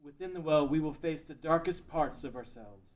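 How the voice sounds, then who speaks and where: A man's voice: on the high side, moderate pace, lightly acted. A man playing a grave old sage and advisor in a table read of a fan script. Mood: solemn.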